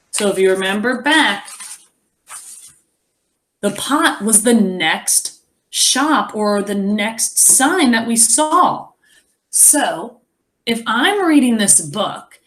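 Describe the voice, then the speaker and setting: A woman reading a picture book aloud as a teacher, expressively, pausing to explain and think aloud for young children. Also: medium pitch, fairly bright tone.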